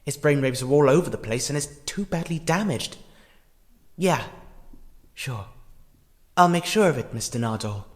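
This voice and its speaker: A man acting a compassionate, hesitant character in a fan audio drama, earnest and halting in his delivery. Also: medium pitch, lively intonation, close to an everyday voice. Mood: uneasy, reporting. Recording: long reverb, music underneath.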